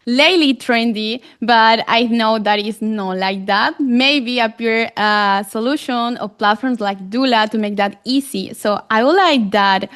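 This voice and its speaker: A woman's voice: fairly high pitch, measured pace, few pauses, fairly bright tone. A Colombian woman whose first language is Spanish, hosting a podcast interview in English with eager delivery, small slips and fillers. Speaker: podcast host.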